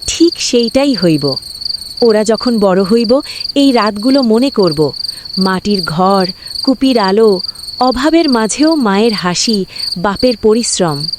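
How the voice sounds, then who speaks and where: A woman voicing a gentle, hopeful village mother who reassures her husband. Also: mid-range voice, lively intonation, takes it slowly, long pauses. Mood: tender. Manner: soothing, reassuring. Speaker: cartoon voice actor. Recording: loud cricket and insect noise.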